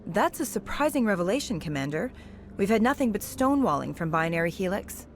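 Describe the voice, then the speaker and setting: An actress playing a skeptical TV news reporter in a science fiction game, pressing with pointed interview questions. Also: female voice, medium pitch, big pitch swings, brisk, slight background noise.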